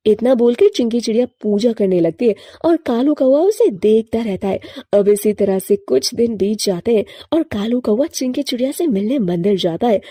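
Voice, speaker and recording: A woman reading scripted narration as the storyteller of an animated moral tale. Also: a little high, moderate pace, few pauses, breathy edge, dry recording.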